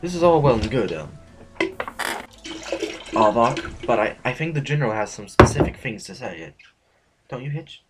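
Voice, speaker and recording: A man's voice: mid-range voice, very animated, moderate pace, long pauses, loud music behind the voice, natural voice. A man playing a cautious, observant adviser to a general in an audio drama, speaking in a hesitant, analytical way. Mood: probing.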